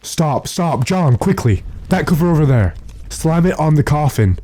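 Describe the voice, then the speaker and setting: An actor playing a composed, authoritative gentleman investigator in a radio drama, measured and deliberate. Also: on the high side, lively intonation, very noisy, lightly acted. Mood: urgent. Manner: measured, deliberate, matter-of-fact. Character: calm, authoritative gentleman investigator addressed as sir. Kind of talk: classic radio drama acting.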